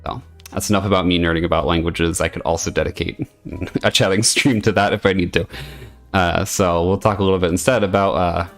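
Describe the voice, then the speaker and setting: Male streamer, deep and gravelly, earnest and hesitant, casual home chat. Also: steady tone, brisk, faint music behind. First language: English.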